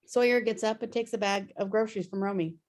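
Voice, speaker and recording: Woman narrating script directions, medium pitch. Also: fairly even intonation, fast. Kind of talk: scripted narration at a table read.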